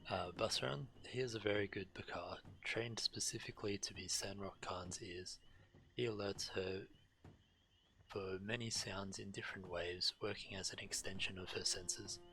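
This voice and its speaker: A man's voice: low-pitched, fairly even intonation, normal speed, pushed and bright, poor audio. A man reading game dialogue aloud on a stream, now and then stumbling over words.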